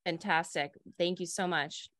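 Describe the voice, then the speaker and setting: A woman's voice: lowish voice, steady tone, a little slow, fairly bright tone, no room sound, clean studio sound. A woman moderating an online panel, asking questions in a hesitant way full of fillers and restarts, over a video call. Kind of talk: casual conversational questions.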